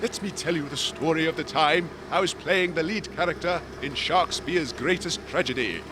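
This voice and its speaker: A man playing a courtly lion, an old-fashioned gentleman actor with a florid, pompous, wordy way of talking in a comedy audio drama. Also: fairly high pitch, moderate pace, noisy background, natural voice. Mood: grandiose storytelling.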